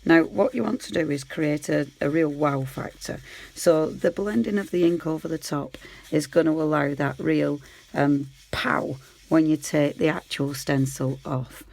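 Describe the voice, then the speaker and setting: Croaky woman demonstrating a craft. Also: low voice, measured pace, a little mellow, poor audio. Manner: chatty, easygoing, talks while working. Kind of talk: casual hands-on tutorial.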